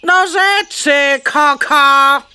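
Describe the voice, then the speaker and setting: High, bright, bossy mother hen. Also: drawn-out words, exaggerated voice. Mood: lecturing, haughty. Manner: clucking between words, scolding, dramatic. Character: bossy, excitable mother hen, easily exasperated. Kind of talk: children's audio play acting.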